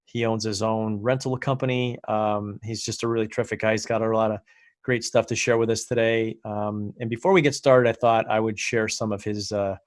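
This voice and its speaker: Low-voiced podcast host, dry sound. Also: male voice, flat delivery, no echo. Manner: friendly, filler-heavy, halting. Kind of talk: casual interview hosting.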